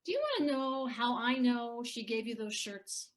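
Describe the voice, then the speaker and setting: A woman's voice: a little high, normal speed, close to an everyday voice. A woman playing a bitter, hard-drinking ex-wife in an audio play, taunting and sardonic. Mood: mocking.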